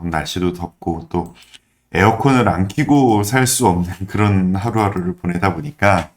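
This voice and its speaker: A man, a pastor teaching the Bible in a conversational, earnest way, his voice slightly affected by a throat cold. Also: deep voice. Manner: earnest, explanatory, emphatic repetition. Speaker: pastor giving a daily online devotional.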